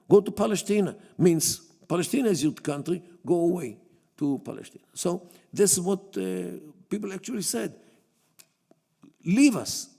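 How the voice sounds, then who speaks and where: Yiddish-speaking guy giving a talk in a big echoey hall, gravelly voice, lots of uhs and restarts. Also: sits high, mellow. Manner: halting, many fillers and restarts. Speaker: guest lecturer. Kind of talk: public lecture. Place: synagogue hall. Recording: long hall reverb.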